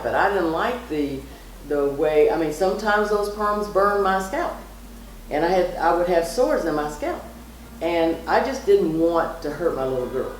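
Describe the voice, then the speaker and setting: Middle-aged woman in a very echoey room. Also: sits low. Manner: emphatic, repeats phrases for stress. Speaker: mother, guest on her daughter's vlog. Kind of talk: casual personal storytelling and advice. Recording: very echoey room, distant mic, faint noise.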